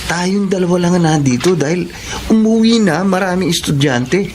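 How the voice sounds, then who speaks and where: A man's voice: high-pitched, normal speed, close to an everyday voice. A man voicing a menacing young male bully student, taunting and leering, over a noisy background.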